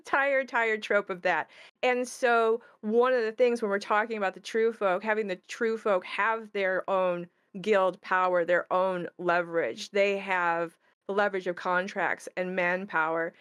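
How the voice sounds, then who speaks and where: A woman's voice: mid-range voice, steady tone, unhurried, a bit bright, clear, resonant tone. A woman talking casually as a game creator, with a wry, good-humoured manner. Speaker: game creator discussing her project. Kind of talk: casual discussion.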